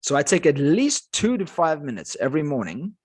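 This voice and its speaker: A man with a South African accent, his first language Afrikaans, speaking as a life coach guest in a podcast interview with energetic, emphatic delivery. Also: fairly high pitch, moderate pace, slightly rough. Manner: energetic, emphatic motivational talk.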